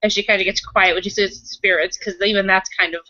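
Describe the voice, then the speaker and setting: A woman improvising roleplay conversation, thinking aloud haltingly and often repeating her phrases. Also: medium pitch, steady tone, bright, forceful tone, no echo, dull audio. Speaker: tabletop roleplaying player and game runner.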